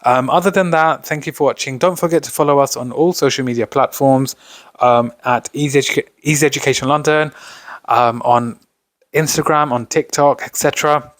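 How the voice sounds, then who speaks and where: A man's voice: medium pitch, steady tone, a little fast, no room sound, studio quality. A man giving an explanatory revision lesson as a tutor, speaking instructionally and often posing questions before answering them. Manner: instructional, poses questions then answers them, repeats stock phrases. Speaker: tutor presenting exam revision.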